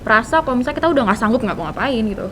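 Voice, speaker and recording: A woman's voice: expressive, rapid-fire. A teenage girl with a Jakarta accent, answering as a podcast guest in informal, slangy speech sprinkled with English terms. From Indonesia. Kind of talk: casual interview chat. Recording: noisy room, low rumble.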